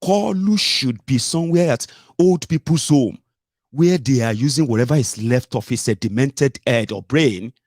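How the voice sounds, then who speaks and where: Combative Nigerian man, husky voice. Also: medium pitch, normal speed, darkish tone, no room sound, clean studio sound. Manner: combative and mocking, rhetorical asides. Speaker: political commentator. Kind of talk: opinionated solo commentary.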